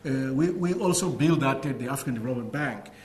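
Halting man speaking at a talk. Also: medium pitch, lively intonation, darkish tone, slight background noise. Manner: halting, many repeated words and fillers. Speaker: guest speaker. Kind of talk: lecture and Q&A answers.

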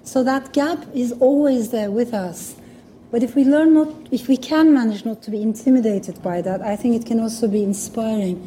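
A woman's voice: fairly high pitch, moderate pace, dark tone, slight background noise. A woman from Turkey with a Turkish accent, speaking English as a second language in a thoughtful, earnest public talk. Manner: thoughtful, earnest, with small restarts. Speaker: guest speaker.

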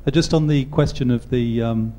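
A man's voice: mid-range voice, moderate pace, dark tone. A man in the audience asking a long question hesitantly, with many ums. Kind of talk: question from the floor.

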